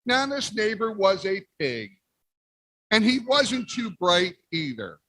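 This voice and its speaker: A man reading a picture book aloud as the wolf, playful with big pauses. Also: high voice, big pitch swings, bright, forceful tone, no echo, clean studio sound. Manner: playful, exaggerated sneezes and storyteller pauses. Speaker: guest reader. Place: library event.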